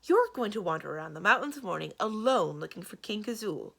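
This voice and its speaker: A proud young king of a storybook forest, acted out by a woman. He is exasperated and protective, objecting emphatically with animated pitch and a slightly husky grain. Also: brisk, exaggerated voice. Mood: appalled, emphatic. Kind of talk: storybook read-aloud.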